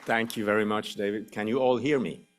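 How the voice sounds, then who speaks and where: A man's voice: lowish voice, fairly even intonation, brisk, crowd noise, noisy background. A middle-aged man with a Swiss accent, English not his first language, giving a public lecture in a joking, explanatory way. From Switzerland.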